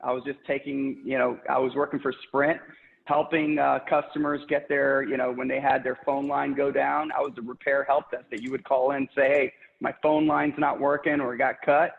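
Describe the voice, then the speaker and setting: A man's voice: mid-range voice, flat delivery, moderate pace, vocal fry. A man in his thirties from Puerto Rico, talking about his career in an upbeat work interview over a video call, with lots of fillers. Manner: enthusiastic, upbeat, many fillers. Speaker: regional sales director, interview guest. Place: home office, indoors. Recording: tinny echoey video-call audio.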